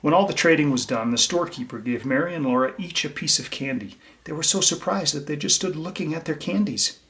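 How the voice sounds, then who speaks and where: A man's voice: fairly high pitch, a little fast, a bit bright. A man reading a children's story aloud and talking to young listeners in a simple, explanatory way. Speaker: teacher reading a picture book aloud to children.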